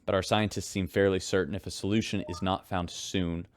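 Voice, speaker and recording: Man playing a low-voiced spaceship radio newscaster. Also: level tone, brisk, slight background noise, natural voice. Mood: grave, sincere. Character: folksy male radio newscaster aboard a spaceship. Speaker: radio host and live reporter. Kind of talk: scripted radio news narration.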